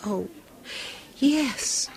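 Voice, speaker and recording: A woman's voice: a little high, lively intonation, drawn-out words, creaky, darkish tone, heavily acted, low-quality recording. A woman in a 1970s radio drama playing a poised woman who hides her worry, speaking in a hesitant, faltering way. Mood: evasive.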